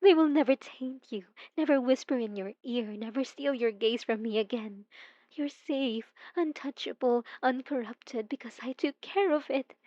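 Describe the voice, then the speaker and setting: A young woman playing an obsessive, possessive stalker student in an intimate ASMR audio roleplay, cooing softly and dramatically with sweet menace. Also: high voice, moderate pace, close to an everyday voice. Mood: menacing, sweet. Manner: soft, intimate, cooing, dramatic, drops into whispers. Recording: dull lo-fi sound with roomy echo.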